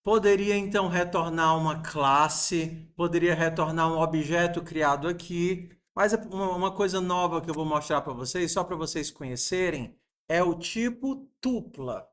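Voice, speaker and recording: A man in his forties with a fairly high voice walks students through code. He explains patiently, asks them questions along the way and sprinkles in friendly diminutives. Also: moderate pace, few pauses, a bit bright. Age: 40s. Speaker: programming teacher. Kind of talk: coding lesson screencast.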